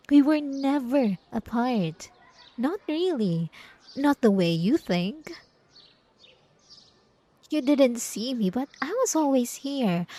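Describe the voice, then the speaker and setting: A woman's voice: on the high side, dark tone, no room sound, full character voice. A woman playing a possessive, gaslighting ex-girlfriend in an ASMR roleplay, coy and mock-innocent with a sweet menace, speaking straight to the listener. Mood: possessive, insistent. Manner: coy, teasing, mock-innocent, drawn-out pet names.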